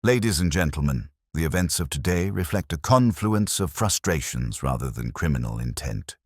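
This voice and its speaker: Man playing a deep, calm police inspector. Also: breathy edge, no room sound, studio quality, heavily acted. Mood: measured, reflective. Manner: measured, formal, gently lecturing. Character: calm, kindly, tactful male police inspector.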